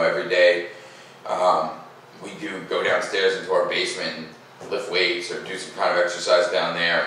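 A middle-aged man hosting a children's read-aloud, mixing expressive picture-book reading with informal, casual asides. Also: low voice. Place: living room by a fireplace, indoors. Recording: echoey room, distant mic, faint noise.

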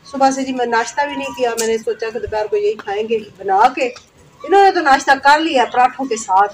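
A woman talks warmly as she cooks outside and explains each step. Her voice sits a little high. Noise from the open yard comes through the camera mic. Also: fairly quick, low-quality recording. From Pakistan. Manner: chatty, peppers speech with "ji", step-by-step explaining. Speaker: vlogger cooking. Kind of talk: casual cooking vlog narration. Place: outdoor village rooftop courtyard. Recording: outdoor camera audio with noise.

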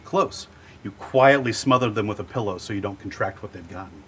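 A man's voice: lowish voice, slight background noise. Playful man hosting a joke quiz. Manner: playful, jokey, quick deadpan punchlines. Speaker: host. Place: sound booth.

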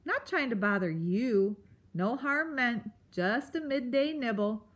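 A woman's voice: fairly high pitch, expressive, takes it slowly. A woman doing a sniveling, fawning fox. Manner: sniveling, wheedling. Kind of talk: storybook read-aloud.

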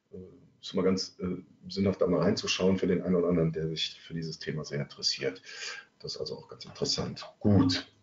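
A man with a cold, in his thirties, presenting an online trading webinar in a halting way with many filler sounds. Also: low voice, a little mellow. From Germany. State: has a cold. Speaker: trading coach. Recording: roomy, muffled webinar audio.

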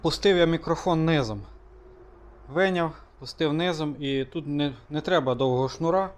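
A man giving a relaxed, casual product demonstration, thinking aloud with small hesitations. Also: a little high, moderate pace. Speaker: motorcycle vlogger.

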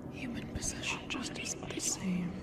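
A woman's voice: normal speed, natural voice. A woman playing a teasing, playfully menacing sleep paralysis demon, a retired succubus, in an ASMR roleplay addressed to the listener. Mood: sly, chatty.

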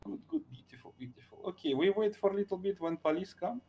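A man's voice: mid-range voice, lively intonation, fast talker, a little hiss or hum, lightly acted. A man playing a male robber who holds a hostage and speaks broken English, repeating short phrases. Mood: calm, bossy. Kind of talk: roleplay in a video game.

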